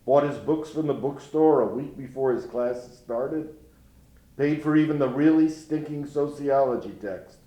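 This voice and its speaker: A man's voice: medium pitch, dark tone, natural voice. An actor playing a grieving older grandfather who speaks in a folksy, rueful way in a dramatic monologue. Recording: roomy echo.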